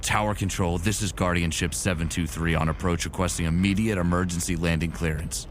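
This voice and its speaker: A man's voice: deep voice, steady tone, a little fast, a little hiss or hum, close to an everyday voice. A man playing a weary, remorseful futuristic soldier in an earnest, brooding way, narrating and speaking in a sci-fi audio drama. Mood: businesslike.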